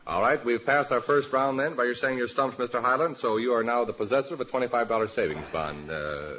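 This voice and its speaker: An American man hosting a panel quiz show, presenting in a deliberate announcer style. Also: medium pitch, fairly bright tone. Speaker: game show host. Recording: dull, lo-fi old radio recording.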